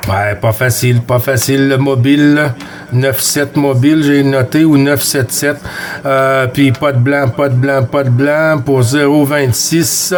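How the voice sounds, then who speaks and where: A man's voice: medium pitch, fairly even intonation, measured pace, a little hiss or hum. A man with a Québécois accent working as a CB radio operator, chatting in a friendly, courteous, repetitive way with other stations. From Quebec, Canada. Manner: friendly, chatty, courteous, often repeats himself. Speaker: CB radio operator running a contact net. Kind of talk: CB radio contact chat.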